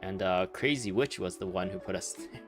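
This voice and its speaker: A man with a slightly low voice chats loosely through a live game stream. He rambles and makes sarcastic, joking remarks over clearly audible game music. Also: fairly quick. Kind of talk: casual live gameplay commentary.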